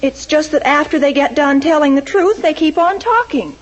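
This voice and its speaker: An earnest American teenage bobby-soxer from a vintage radio comedy. Her voice is high and girlish, with a gushing, explanatory manner. The recording is dull and old. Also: animated, moderate pace, very noisy. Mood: knowing, gushing. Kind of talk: 1940s radio comedy acting.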